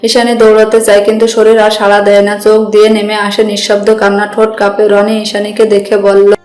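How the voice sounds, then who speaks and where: A woman's voice: mid-range voice, monotone, brisk, darkish tone. A woman narrating a dramatic scripted story. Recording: roomy echo with music bed.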